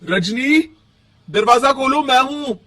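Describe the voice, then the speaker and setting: A man's voice: very high-pitched, lively intonation, slow, pushed and bright, far from the mic, pitched above the performer's own voice, heavily acted. A man voicing a loving young husband in a story, pleading and questioning. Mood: concerned, insistent. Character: loving young husband, earnest, puzzled, insistent.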